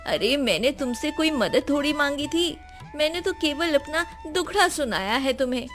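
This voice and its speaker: Narrator as needy neighbour woman, high voice, humble, effusive, cartoon moral tale, music behind. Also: expressive, normal speed, a bit bright. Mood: protesting.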